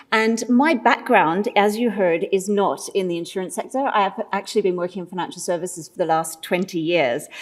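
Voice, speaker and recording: A woman in her forties with an Australian accent, giving a prepared talk at a conference and stressing key words as she goes. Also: mid-range voice. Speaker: conference speaker.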